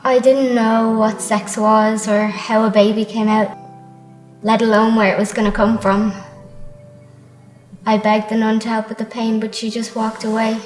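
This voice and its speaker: An actress playing a sorrowful teenage girl giving a measured, subdued first-person testimony. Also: a little high, little pitch movement, reverberant, soft background music, lightly acted, poor audio. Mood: subdued, ashamed. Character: sorrowful teenage girl recounting mother and baby home.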